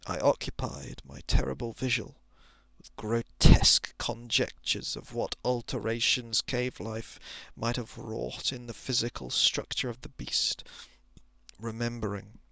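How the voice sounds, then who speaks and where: A man reading a story in a hushed, deliberate ASMR narration style, often slipping toward a whisper, close to the microphone. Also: mid-range voice, fairly even intonation, no echo. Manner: hushed, breathy whispering at times, deliberate literary phrasing. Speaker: storyteller reading a short thriller.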